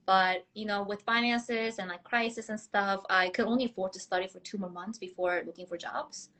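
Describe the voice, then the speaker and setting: A woman's voice: mid-range voice, steady tone, moderate pace, fairly bright tone. Young woman, guest dentist, chatty and candid, laughs between thoughts. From South Korea. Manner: bubbly, laughs often, candid. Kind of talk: casual interview answers.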